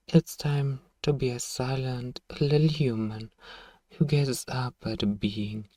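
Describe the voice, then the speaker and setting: A man acts out a powerful male vampire in an ASMR roleplay. He speaks slowly in a dark, mellow tone, suave and condescending, coaxing and commanding by turns. The voice sits a little away from the mic, with very little room sound. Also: mid-range voice, no echo, far from the mic. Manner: theatrical, commanding, softly menacing, condescending. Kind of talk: ASMR roleplay, scripted hypnotic monologue.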